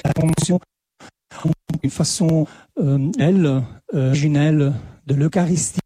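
A man with an Italian accent delivers a slow conference talk in a non-native language, stumbling and restarting his phrases as he searches for words. His voice is very dark and somewhat husky, and it sits a little high for a man. The sound is dry and close. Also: steady tone, no room sound. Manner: halting, many repeated words and restarts. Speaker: lecturer.

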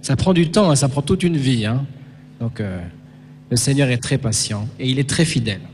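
A man's voice: mid-range voice, normal speed, darkish tone, slight background noise. A man giving a conversational, teaching sermon, checking with listeners through short questions. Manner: didactic, punctuates points with checking questions. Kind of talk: sermon to a young audience, conversational. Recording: reverberant hall.

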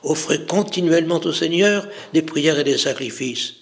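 A man voicing a gentle, solemn adolescent angel in a religious story, speaking in measured, prayerful phrases. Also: a little high, animated. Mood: solemn exhortation.

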